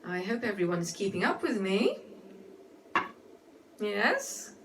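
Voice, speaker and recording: A woman's voice: medium pitch, big pitch swings, takes it slowly, slight background noise. A woman cabaret entertainer with a playfully genteel, rambling manner, performing comic music-hall songs and chatty patter. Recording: music mixed loudly with the voice. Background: loud musical accompaniment with guitar.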